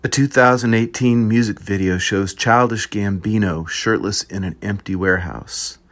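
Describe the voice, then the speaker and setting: A man reading an essay aloud at a group reading, measured and descriptive. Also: lowish voice, fairly even intonation, a bit bright.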